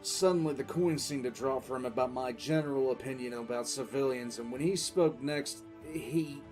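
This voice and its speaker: A male actor voices a seasoned, cool-headed military commissar in an audio drama. He recounts events with weary deliberation and dramatic pauses, at a middling pitch. Soft music plays faintly behind him. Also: natural voice. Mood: grave, detached. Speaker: narrator and lead voice actor. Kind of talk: dramatic first-person audio drama narration. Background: faint background music.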